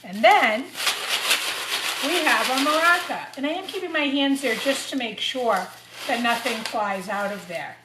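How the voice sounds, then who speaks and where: Woman, slightly high voice, children's craft teacher, step-by-step informal narration, unhurried pace, clear background noise. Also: animated. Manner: talks through each step while working. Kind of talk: informal how-to craft demonstration for young children. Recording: roomy echo, clear background noise and clatter.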